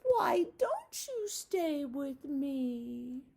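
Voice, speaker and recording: A woman's voice: high-pitched, big pitch swings, extremely slow, gravelly, darkish tone, pitched above the performer's own voice, heavily acted. A woman voicing a young female lamb, eager and clingy, with an earnest, pleading way of asking questions.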